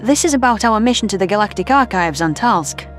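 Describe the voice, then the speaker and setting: An earnest female researcher, acted by a man speaks emphatically in a sci-fi audio drama. Soft music plays behind the voice. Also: sits high, lively intonation, fairly quick. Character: serious, emphatic woman scientist. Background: faint music.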